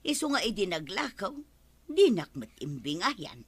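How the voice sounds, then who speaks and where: An actress playing an exasperated farmer's wife in an Ilocano radio comedy drama, with an Ilocano accent. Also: female voice, medium pitch, sing-song, a little slow, slightly rough, no room sound. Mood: regretful.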